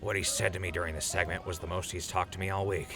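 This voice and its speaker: A man's voice: sits low, level tone, fast. An actor playing an earnest, apologetic male radio DJ in a post-apocalyptic audio drama. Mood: hesitant.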